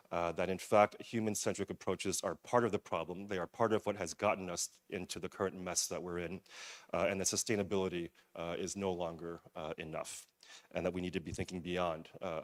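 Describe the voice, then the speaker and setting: A man giving a conference presentation, hesitant, with many fillers and restarts. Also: low voice. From United States. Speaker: museum director presenting at a forum.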